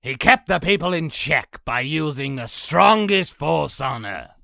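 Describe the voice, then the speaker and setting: A man playing a sinister, boastful old demon in a comic dub, telling tales in a raspy, gravelly, theatrical voice. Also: fairly high pitch, expressive, unhurried, sharp tone, dry recording, clean studio sound, heavily acted. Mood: ominous storytelling. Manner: theatrical, grandiose storytelling. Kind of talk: comic dub villain acting.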